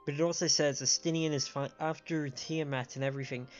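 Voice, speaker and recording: A man's voice: a little high, fairly even intonation, brisk. A man giving casual live commentary as he streams a game. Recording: dull band-limited stream audio, music behind.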